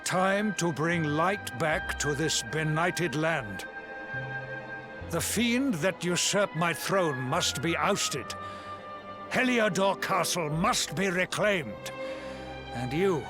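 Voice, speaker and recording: A man playing a dignified king in a video game, speaking in a formal, stately and measured way. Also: on the high side, lively intonation, over music. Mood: solemn, resolute. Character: dignified, solemn king rallying his loyal servants. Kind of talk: dramatic video game acting.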